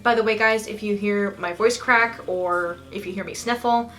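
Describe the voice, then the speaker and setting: A young woman with a slight lisp reviewing a goth album in a casual, bubbly and dramatic way, a little sniffly and rough from allergies. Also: mid-range voice, moderate pace. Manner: bubbly and dramatic, squeals with excitement.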